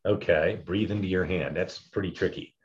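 A man with a deep, loud voice, talking casually as he teaches public speaking tips and often chuckles. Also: moderate pace, lo-fi sound.

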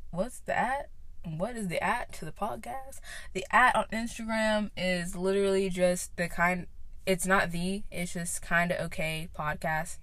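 A teenage girl hosts her own podcast from inside a parked car, her voice sitting at an ordinary middle pitch. She rambles casually, stacks up fillers and restarts, and now and then jumps up high in surprise. The sound is dry and close, with no room echo. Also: fairly bright tone. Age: late teens. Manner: chatty, rambling, with many fillers and sudden high exclamations. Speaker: podcast host. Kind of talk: casual solo podcast chat.

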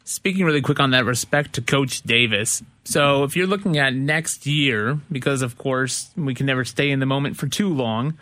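A man co-hosting sports talk radio, speaking in a brisk, to-the-point way. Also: medium pitch, sharp tone, no room sound. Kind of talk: sports talk, reading out rankings.

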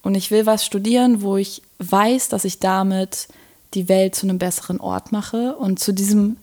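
A woman's voice: mid-range voice, fairly even intonation, normal speed, darkish tone. A woman in her twenties from Berlin with a Berlin accent, a podcast guest talking eagerly with lots of "ähm" fillers and easy laughter. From Germany. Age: 20s. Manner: enthusiastic, laughs often, many fillers. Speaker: podcast guest, design student. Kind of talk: casual chat.

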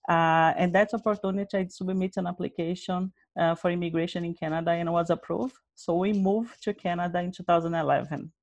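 Lady telling her faith story, lowish voice, dry close sound, earnest plain english. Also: fairly even intonation, a little fast, few pauses, a little mellow, no echo. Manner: earnest, simple non-native phrasing. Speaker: interview guest, Bible study leader. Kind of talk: personal testimony in an interview.

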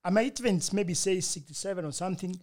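Young man, casual talk-show guest, fairly high voice, earnest and blunt, repeats himself. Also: fast, crowd noise. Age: 20s. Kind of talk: casual chat. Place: Denver, US.

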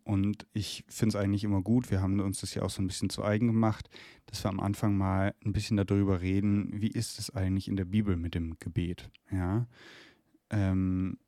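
Deep man with a cold, dry sound, steady podcast co-host guiding the talk. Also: no echo. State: has a cold. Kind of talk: casual theological podcast chat. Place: room in his parents' home.

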